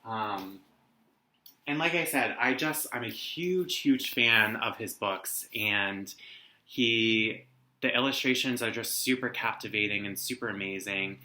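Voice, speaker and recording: A man's voice: fairly low pitch, measured pace, pushed and bright. A young man casually presenting and reading a picture book for students at home. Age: young adult. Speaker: read-aloud host, teacher. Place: living room at home. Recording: roomy indoor echo.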